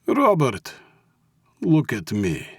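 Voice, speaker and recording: Man acting a clipped, smoothly menacing Russian mob boss. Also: medium pitch, huge pitch swings, drawn-out words, dry recording, clean studio sound, pitched above the performer's own voice, heavily acted. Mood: pleasant, commanding. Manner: clipped, pleasant, condescending. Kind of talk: audiobook character acting.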